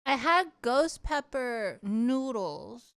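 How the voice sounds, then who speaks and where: High-pitched animated woman chatting on stream. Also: drawn-out words, smooth. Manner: animated, giggly, rambling. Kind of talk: casual livestream chat and storytelling.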